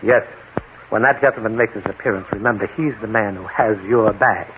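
An actor playing a menacing, smooth crime boss in a 1940s radio drama, sardonic and mockingly polite. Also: male voice, fairly low pitch, a little fast, close to an everyday voice. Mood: sly warning. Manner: sardonic, mock-polite, insinuating. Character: smooth, menacing male crime boss buying stolen photographs. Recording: noisy, tinny 1940s radio recording.

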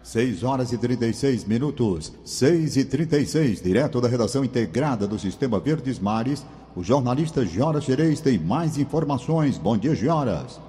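A male radio news anchor with smooth announcer cadence. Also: medium pitch, animated, moderate pace, hardly any pauses, warm tone, long reverb tail.

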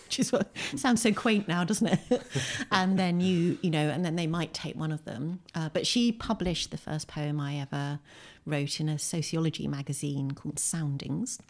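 A woman's voice: low-pitched, creaky. A British woman talking as an interviewed writer, telling anecdotes in a chatty, self-deprecating conversational way. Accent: British English. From Britain. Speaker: poet and writer being interviewed. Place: in a home.

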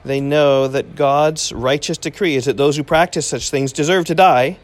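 A man's voice: on the high side, moderate pace. A man leading a Bible reading with guests, reading passages aloud and adding short explanations. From English-speaking visitor to Nepal. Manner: steady reading, explains as he goes. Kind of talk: casual Bible reading with commentary.

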